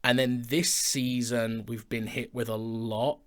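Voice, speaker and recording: A man's voice: mid-range voice, level tone, measured pace. A man co-hosting a casual behind-the-scenes chat in a studio, talking in a chatty, informal and self-deprecating way. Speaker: podcast co-host and audio drama maker. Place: recording studio.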